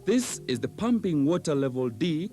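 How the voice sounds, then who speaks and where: A man reading scripted instructional narration in a measured, precise, didactic manner, on an old VHS training film soundtrack. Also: on the high side, big pitch swings, warm tone, soft background music.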